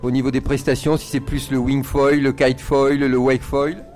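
A man's voice: mid-range voice, level tone, moderate pace, darkish tone, faint music behind. A man hosting an informal radio interview, putting questions to his guest as the interviewer.

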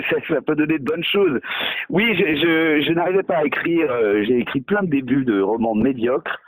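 A man's voice: on the high side, moderate pace, no echo, low-quality recording. A male novelist in a radio interview, talking hesitantly with many fillers and restarts, mocking himself. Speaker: novelist guest.

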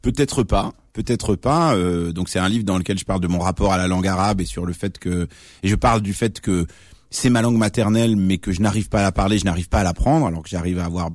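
A man's voice: sits low, fast, a little mellow, no room sound, clean studio sound. A man in his late thirties, a journalist and author being interviewed on radio, explaining things thoughtfully with many fillers and restarts. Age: late 30s.

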